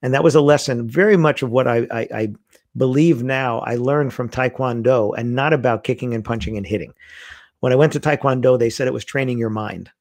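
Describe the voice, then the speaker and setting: A man's voice: mid-range voice, fairly quick, no echo. A middle-aged man telling stories in a casual conversation, acting out the lines he quotes. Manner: animated storyteller, acts out quoted lines. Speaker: guest.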